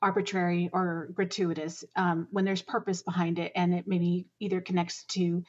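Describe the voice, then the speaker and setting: A woman guest on a podcast interview about making audio dramas, speaking in an earnest, steady way. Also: on the low side, moderate pace, dull audio. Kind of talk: interview answers.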